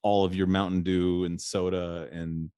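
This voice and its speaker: A man's voice: deep voice, level tone, a little slow, mellow, smooth, dry recording, studio quality. A man hosting a podcast, talking in a loose, rambling way and laughing often. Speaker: podcast host. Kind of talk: casual interview chat.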